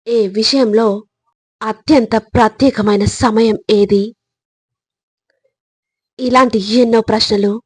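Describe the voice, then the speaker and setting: A woman delivering scripted motivational narration in short, deliberate phrases, earnestly urging the listener on. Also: medium pitch, breathy edge, no echo. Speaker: motivational speaker.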